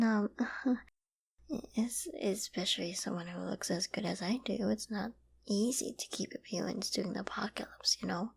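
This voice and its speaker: A woman's voice: fairly high pitch, darkish tone. A woman playing an obsessive, lovesick young survivor in an intimate roleplay drama, sweetly controlling, affectionate and possessive. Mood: coy, insistent. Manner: affectionate, giddy, possessive, with breathy sighs and giggles.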